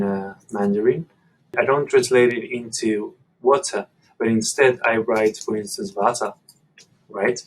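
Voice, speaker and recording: A man whose first language is Spanish, calmly explaining a language-learning method in a video lesson. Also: mid-range voice, low-quality recording. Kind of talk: instructional monologue to camera.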